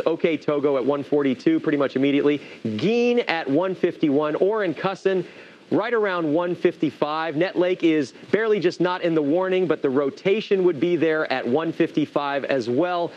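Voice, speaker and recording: A man's voice: on the high side, moderate pace, darkish tone, muffled recording. A man working as a TV meteorologist, giving live, conversational and explanatory severe weather commentary.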